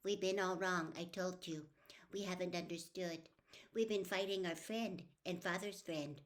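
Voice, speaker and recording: A woman voicing a possessed small boy in an audiobook, speaking in a calm, measured, persuasive and sinister way. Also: fairly low pitch, close to an everyday voice. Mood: eerily calm, coaxing. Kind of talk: audiobook character voice.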